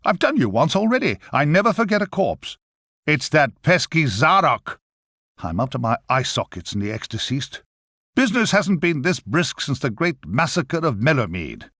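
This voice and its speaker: An actor playing a wry, chatty, overworked grim reaper in a video game, with a theatrical manner. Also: male voice, a little high, big pitch swings, normal speed, fairly bright tone, no echo, studio quality, full character voice. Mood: exasperated. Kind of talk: scripted video game character acting.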